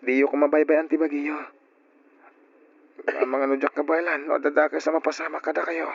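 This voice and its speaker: A man's voice: a little high, little pitch movement, brisk, vocal fry, breathy edge, no room sound. A man acting an anxious, devoted young nephew in an Ilocano radio drama, with an Ilocano accent and emotive, exclamatory delivery. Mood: worried, pleading. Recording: dull lo-fi radio drama recording.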